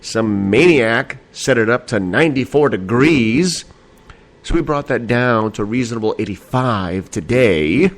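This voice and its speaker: A man hosting a casual late-night podcast from home, a bit tipsy and a little nasal, rambling and laughing with lots of fillers and swearing. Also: medium pitch. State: slightly tipsy. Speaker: podcast host. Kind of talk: late-night casual solo talk show.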